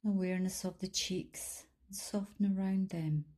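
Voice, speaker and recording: A woman leading a guided body scan meditation in a calm, soothing, unhurried manner, leaving long pauses between instructions. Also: lowish voice. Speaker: meditation guide, life coach.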